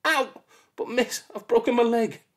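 A man's voice: very high voice, measured pace, voice pushed up. A man voicing a timid young schoolchild in an audiobook, speaking in a whiny, pleading way. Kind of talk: audiobook character voice.

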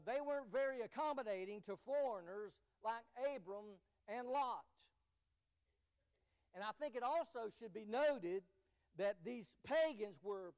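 A man preaching a sermon from a church pulpit, emphatic and repeating phrases for weight. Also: high-pitched. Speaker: pastor. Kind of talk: sermon with scripture reading.

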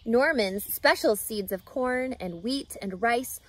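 A woman reads a children's story aloud, expressive and emphatic, addressing young listeners. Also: on the high side, slow pace, no room sound. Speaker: reading teacher, storyteller. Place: outdoors in a grassy lakeside yard.

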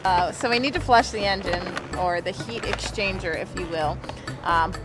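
A woman's voice: lowish voice, normal speed, over music. Upbeat woman vlogging at a marina. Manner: upbeat, exclamatory. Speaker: sailing vlog co-host. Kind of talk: casual vlog chat. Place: outdoors at a marina.

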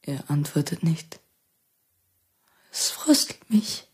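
Husky, frightened neighbour woman. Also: deep voice, very animated, slow, a little mellow, in a whisper, full character voice. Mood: fearful, watchful. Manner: anxious, insistent, repeating herself. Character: frightened, heartless neighbour woman driving a family away. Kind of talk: German radio play acting.

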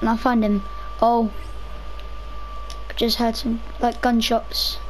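Slow-talking young woman with a cough. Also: fairly high pitch, flat delivery, a little hiss or hum, low-quality recording. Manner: chatty, rambling, self-talking. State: slightly rough voice from a cough. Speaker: gaming video creator. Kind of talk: casual gameplay commentary.

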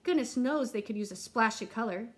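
A woman's voice: a little high, lively intonation, fairly quick, close to an everyday voice. A woman voicing a sassy, affronted pink crayon from a storybook, bossy and pointed.

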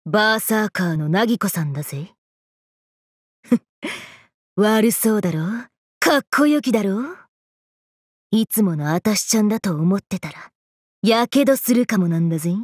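A woman's voice: medium pitch, expressive, moderate pace, pauses often, no room sound, studio quality, natural voice. A woman voicing a brash, playful, hyper young woman from an anime game, with cocky, slangy, teasing delivery. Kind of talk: Japanese anime game character voice lines.

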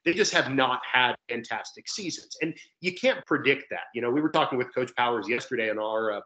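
Young male sports broadcaster, mid-pitched, chatty and explanatory, guesting over a video call. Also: a bit bright, poor audio. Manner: talkative, explanatory. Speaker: sports play-by-play commentator as guest. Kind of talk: conversational sports interview. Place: indoor room at home, video call.

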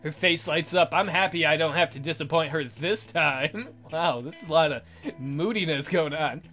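A man's voice: on the high side, normal speed, sharp tone, faint music behind. A man doing a let's play, reading visual novel narration aloud. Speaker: let's player.

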